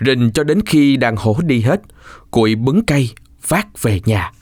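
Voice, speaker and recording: Animated male storyteller, dry sound. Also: mid-range voice, no room sound. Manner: expressive, measured storytelling. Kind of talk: scripted children's folk tale narration.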